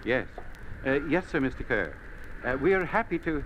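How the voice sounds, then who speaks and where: A man's voice: medium pitch, very animated, normal speed, lo-fi sound, natural voice. An actor playing a weary, uneasy older union chairman, hesitant and protesting, in a 1950s radio drama. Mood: flustered, deferential. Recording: noisy old 1950s radio broadcast.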